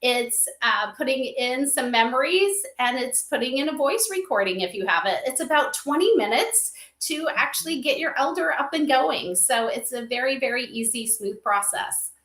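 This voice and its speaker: Female livestream guest, upbeat and conversational, sharp bright tone, clearly echoey room sound. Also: sits high, lively intonation, moderate pace, hardly any pauses. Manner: enthusiastic, conversational, peppered with "you know". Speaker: interview guest, company advisor. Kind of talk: casual interview chat. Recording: roomy, echoey livestream audio.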